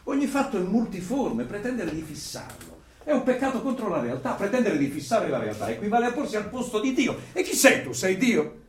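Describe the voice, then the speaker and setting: Regime torturer, declamatory, coldly menacing, fairly high male voice, radio drama, roomy and distant. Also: sing-song, fairly quick. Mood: lecturing, menacing. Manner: declamatory, lecturing, menacing, with sudden loud bursts. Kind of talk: theatrical Italian radio play acting. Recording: roomy, distant miking with background noise.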